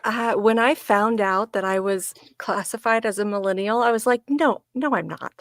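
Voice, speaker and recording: A woman in her forties teases her co-panelists playfully, with laughter all through her talk. Her voice is mid-pitched and recorded dry and close, with no room sound. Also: normal speed, no room sound. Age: 40s. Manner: playful teasing, laughs often. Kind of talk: casual panel chat.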